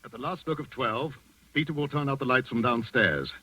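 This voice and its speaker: An actor playing a commanding doctor in the resistance, urgently instructing accomplices in 1940s radio style. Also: male voice, medium pitch, brisk, bright, forceful tone. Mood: brisk, commanding. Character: commanding male doctor, resistance plotter coaching his accomplices. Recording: muffled 1940s radio broadcast.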